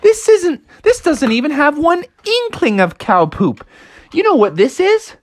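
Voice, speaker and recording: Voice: very animated, unhurried, no room sound, studio quality. A storyteller squealing as Froggy's family. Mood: impressed. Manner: very high, squealing, exaggerated. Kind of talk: storybook character voices.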